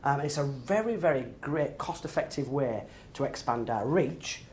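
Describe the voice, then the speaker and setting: A man presenting to building society members, speaking haltingly with many fillers and repeated words. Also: medium pitch, expressive, darkish tone, slight background noise.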